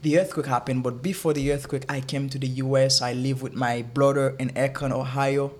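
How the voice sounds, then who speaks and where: A young man from Haiti, a guest in a casual interview, who talks haltingly and often repeats words while he looks for them. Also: medium pitch, level tone, darkish tone.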